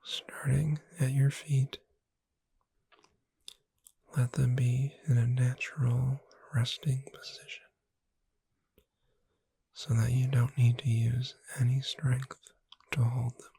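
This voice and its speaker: A woman playing a gentle witch bookseller in a breathy, soothing, whispered ASMR sleep roleplay. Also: a bass voice, little pitch movement, no echo, heavily acted. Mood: calm, soothing. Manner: slow, soothing, deliberate. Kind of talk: soft-spoken ASMR sleep roleplay, guided relaxation.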